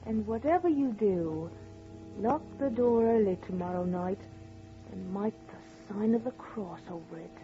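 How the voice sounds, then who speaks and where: Ominous, rustic, superstitious housekeeper. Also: female voice, medium pitch, lively intonation. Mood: warning. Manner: rustic uneducated speech, slow ominous emphasis. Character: superstitious, ominous, stubborn woman housekeeper, humble to employers. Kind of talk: old-time radio horror acting. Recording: dull old radio recording with music.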